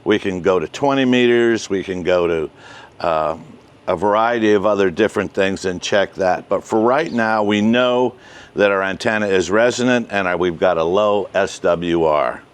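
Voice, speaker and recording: An older man in his sixties giving a casual step-by-step tutorial outdoors as host, with halting, deliberate delivery. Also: low-pitched, clean tone. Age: 60s. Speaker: host, ham radio hobbyist. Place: outdoors, residential backyard and covered patio.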